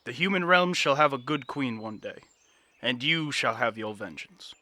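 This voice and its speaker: An actor playing an earnest young political advisor in a fantasy audio drama, speaking formally and with deference. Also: male voice, mid-range voice, lively intonation, sharp tone. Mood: sincere, respectful. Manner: formal, measured, deferential archaic phrasing.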